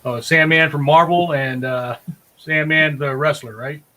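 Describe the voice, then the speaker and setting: A man's voice: on the high side, fairly even intonation, measured pace, sharp tone. A man casually moderating a livestream as host, in short conversational turns. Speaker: host moderating a drawing show.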